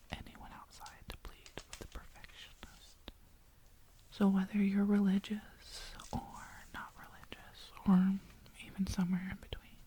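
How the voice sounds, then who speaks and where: A woman's voice: mid-range voice, mellow. A woman whispering as she retells a Bible story in a casual, slangy way.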